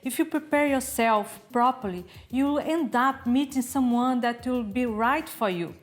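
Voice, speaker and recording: A woman in her forties co-hosting a TV talk show, giving calm advice. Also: high-pitched, normal speed. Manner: calm, advising. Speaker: co-host. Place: TV studio, indoors.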